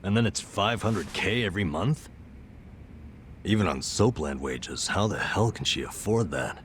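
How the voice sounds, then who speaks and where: Low-voiced, dryly observant sidekick. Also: male voice, expressive, unhurried, slight background noise. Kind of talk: English game dub acting.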